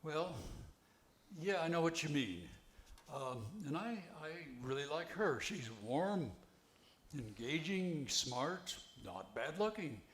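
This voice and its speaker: An older man reading the part of an earnest, helpful widower in a play, with a halting delivery. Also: fairly high pitch, big pitch swings. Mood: hesitant, reflective. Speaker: amateur actor reading a play. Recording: echoey, roomy amateur recording.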